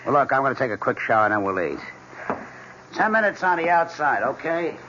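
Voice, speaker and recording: An actor playing a gruff, dismissive husband, blunt and impatient, in a 1970s radio drama. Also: male voice, mid-range voice, big pitch swings, fairly quick, natural voice. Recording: dull 1970s radio broadcast with faint hiss.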